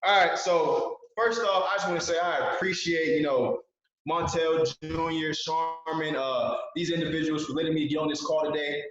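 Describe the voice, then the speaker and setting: A man's voice: fairly high pitch, steady tone, normal speed, fairly bright tone, slight background noise. A man in his twenties giving an earnest motivational talk as a guest speaker on a video call, repeating key phrases for emphasis. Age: 20s. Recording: very echoey, dull video call audio.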